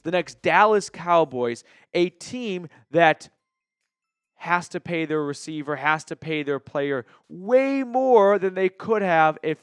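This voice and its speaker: American male sports host, slightly high smooth voice, opinionated and conversational, close dry sound. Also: fairly bright tone, dry recording. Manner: opinionated, argues point by point, emphatic. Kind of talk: conversational sports commentary to viewers.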